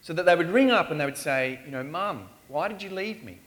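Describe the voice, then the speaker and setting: Male preacher in a church, earnest and pleading, voice a bit on the high side. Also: lively intonation, a little fast, fairly bright tone. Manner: earnest, rising to pleading questions. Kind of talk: sermon. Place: church in Australia.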